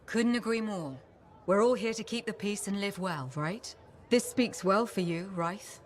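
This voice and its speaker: A woman's voice: mid-range voice, a little hiss or hum, lightly acted. Woman as a cool, commanding game boss. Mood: composed. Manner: measured, authoritative. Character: cool, businesslike woman power broker, firmly in control. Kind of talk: game dialogue acting.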